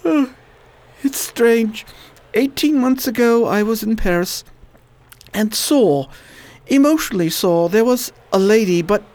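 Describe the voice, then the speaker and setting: A woman voicing a weary, Oxford-educated man fighting a plague, speaking low and wry in a radio drama. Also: animated, a little slow, darkish tone. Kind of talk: amateur radio drama acting.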